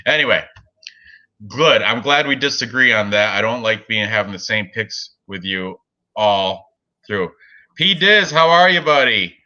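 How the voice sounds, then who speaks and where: A man's voice: on the low side, animated, unhurried, sharp tone, highs cut off. A man in his forties hosting a casual sports betting chat, rambling with lots of fillers and frequent laughs. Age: 40s. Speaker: podcast host.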